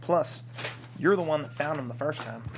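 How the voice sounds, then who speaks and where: A man's voice: on the high side, normal speed, background noise, close to an everyday voice. An actor playing a stubborn middle-aged father who runs a small-town bar, in a comedy script table read. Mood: bitter, stubborn.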